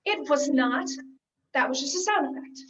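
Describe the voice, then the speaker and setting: Insistent, exasperated sensible type, played by a teen girl; high voice, theatrical emphasis, distant pickup. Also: lively intonation, normal speed, fairly bright tone. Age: teenager. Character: exasperated, insistent voice of reason coaxing a mime. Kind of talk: school one-act comedy stage acting. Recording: dull, distant school play recording.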